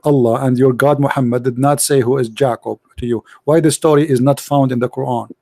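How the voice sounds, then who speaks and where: A man with hosting a live-stream debate, mocking and interrupting with rapid repeated questions. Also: mid-range voice, fairly even intonation, mellow, dry recording. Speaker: livestream host debating callers.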